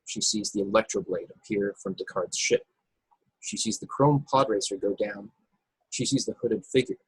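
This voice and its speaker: A man with a husky voice reading stage directions as narrator of a script table read over a video call. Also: lowish voice, normal speed, dry recording, poor audio. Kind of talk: scripted narration with occasional stumbles.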